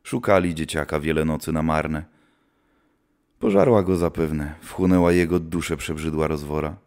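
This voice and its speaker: A man's voice: a bass voice, moderate pace, darkish tone. A man playing a grim, seasoned witch hunter who speaks solemnly, like an old-time storyteller, in a scripted audio drama. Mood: grim, reminiscing.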